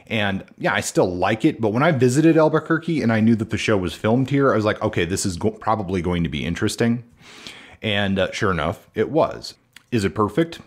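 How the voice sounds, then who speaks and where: A man hosting a podcast, chatting in a relaxed, hesitant way with plenty of fillers. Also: lowish voice, a little fast. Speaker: podcast host. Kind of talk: casual podcast conversation.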